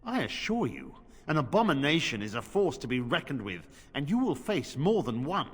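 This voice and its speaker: A man's voice: a little high, sing-song, moderate pace, husky. A man voicing a grave, beleaguered knight commander in a fantasy video game, speaking formally and solemnly. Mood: stern warning. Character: grave, beleaguered male templar commander, resolute and grim. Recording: reverberant game audio with music.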